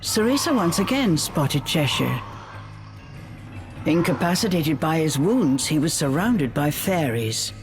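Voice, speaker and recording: A woman playing a storybook narrator, telling a fairy tale in a video game in a measured, dramatic way. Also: low voice, very animated, heavily acted. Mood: storytelling. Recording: music behind the narration.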